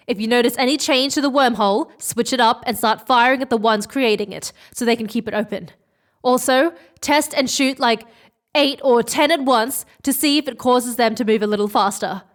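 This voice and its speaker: A woman playing an urgent, decisive expedition leader in a sci-fi audio drama, giving commands in a hurried manner. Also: sits high, natural voice.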